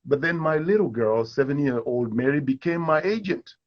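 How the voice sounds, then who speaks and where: A man's voice: a little high, moderate pace, muffled recording. A middle-aged man from Kenya, an actor, telling stories in an interview and acting out the voices of people he quotes. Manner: animated storyteller who acts out quoted voices. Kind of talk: storytelling in a live interview.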